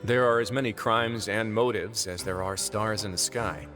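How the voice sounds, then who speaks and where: Man acting a low-voiced, composed, wry duke. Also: fairly even intonation, soft background music, close to an everyday voice. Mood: thoughtful. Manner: composed, measured, gently wry, formal. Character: calm, wry, authoritative male prison overseer, a duke. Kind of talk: video game character voice acting.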